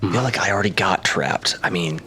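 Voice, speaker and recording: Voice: deep voice, brisk, pushed and bright, slight background noise. A tabletop roleplaying player bantering casually, switching between speaking in character and describing actions.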